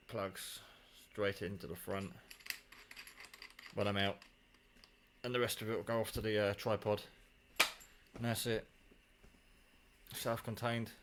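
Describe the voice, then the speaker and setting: A man with a fairly low voice talks through his hobby gear in a casual, offhand way. He half mutters to himself as he works with his hands. The handheld camera recording has faint noise and small handling clicks behind him. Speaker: hobbyist demonstrating his CB radio kit. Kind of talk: casual hands-on show-and-tell.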